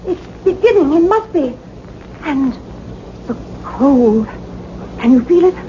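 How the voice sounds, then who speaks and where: An actress playing a frightened female laboratory assistant in a sci-fi radio play, speaking in an alarmed, highly dramatic way. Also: expressive, takes it slowly, dark tone. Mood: alarmed awe. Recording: muffled, very noisy radio drama recording.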